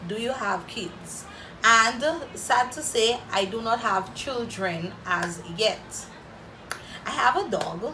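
A woman's voice: medium pitch, bright, forceful tone, a little hiss or hum, rough recording. A woman in her twenties from Saint Lucia, with a Saint Lucian accent, chatting playfully to camera as she answers viewer questions in a small room. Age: 20s. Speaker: vlogger. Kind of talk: casual Q&A to camera. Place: small room indoors, plain wall. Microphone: camera in front, head-and-shoulders framing.

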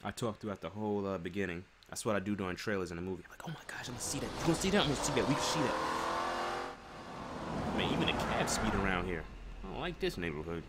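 A young American man giving casual, slangy let's play commentary, rambling and thinking out loud. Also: on the low side, animated, fast talker. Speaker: gaming streamer. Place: room at home. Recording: clearly noisy home webcam stream. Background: car game sounds.

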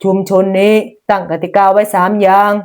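A woman voicing a calm, wise old village abbot in a folk tale, measured and moralizing. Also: mid-range voice, clean tone, natural voice. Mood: firm.

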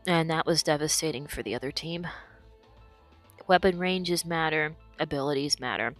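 Woman, lower voice, hoarse from illness, casual gameplay commentary that explains each move; faint music under. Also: moderate pace. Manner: explanatory, talks through her decisions. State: losing her voice from illness.

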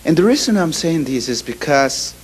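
A man in his thirties from Ecuador speaking halting English with a Spanish accent, addressing an audience on a handheld mic in a hall. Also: a little high, sing-song, breathy edge. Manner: halting non-native English, many restarts, gesturing. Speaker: ministry speaker. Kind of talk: animated talk to an audience. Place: hall indoors.

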